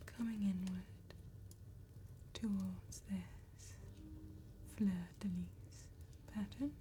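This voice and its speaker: A woman whispering an ASMR roleplay as a soft-spoken vampire tattoo artist, gently and deliberately, with pauses between phrases. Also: medium pitch, fairly even intonation, slight background noise. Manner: slow, gentle, deliberate enunciation with long pauses.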